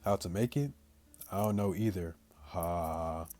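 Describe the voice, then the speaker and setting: A young man hosting a gaming video, reading visual novel lines aloud in a casual, halting way. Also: deep voice, dark tone, soft background music. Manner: halting, stumbling reader.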